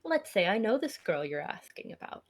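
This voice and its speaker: A woman's voice: mid-range voice, sing-song, normal speed. A woman reading aloud as a wary, sardonic fugitive in a visual novel, with a dry, confrontational manner. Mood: wry, suspicious. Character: wary, sardonic fugitive who sizes people up. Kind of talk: amateur visual novel read-aloud acting.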